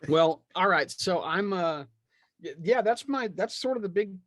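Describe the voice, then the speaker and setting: A man's voice: sits high, lively intonation, moderate pace, a bit bright, no room sound, studio quality. A man chatting casually as a podcast guest, joking and slipping into hammy, mock-dramatic asides. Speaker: podcast guest, tabletop game creator.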